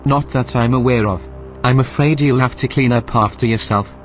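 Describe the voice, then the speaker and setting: Man acting as a calm, clinical station computer. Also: medium pitch, soft background music, lightly acted. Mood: calm, apologetic. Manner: formal, measured, polite. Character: calm, clinical male artificial intelligence running a research station. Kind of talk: science-fiction audio drama acting.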